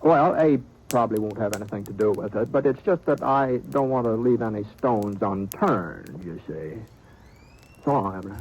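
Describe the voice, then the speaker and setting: An actor playing a reluctant, easygoing western cowhand in an old radio drama, speaking in a halting, folksy, understated way. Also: male voice, on the low side, lively intonation. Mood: mild, sly. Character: reluctant, easygoing western cowhand recounting his small-town troubles. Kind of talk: 1950s radio western acting and first-person narration. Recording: noisy vintage radio broadcast recording.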